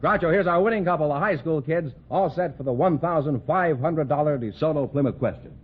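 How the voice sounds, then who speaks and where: A man's voice: a little high, brisk, over music. An American man reading scripted announcements and sponsor copy in 1940s-50s radio style. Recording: dull vintage radio broadcast recording.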